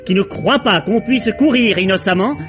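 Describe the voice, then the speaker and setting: An actor playing a theatrical, playful announcer who reads out chapter titles in an old French radio serial. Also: male voice, high voice, normal speed, close to an everyday voice. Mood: playful, grandiose. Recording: dull vintage radio recording, loud music. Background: loud music.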